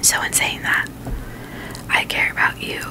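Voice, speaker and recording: A woman whispering in a breathy, unvoiced voice, chatting casually and haltingly in a gentle ASMR style. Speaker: ASMR video host.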